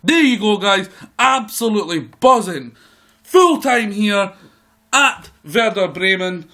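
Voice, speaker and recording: A man with a croaky voice giving excitable live play-by-play commentary over a football management game. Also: high-pitched, takes it slowly. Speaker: gaming video host.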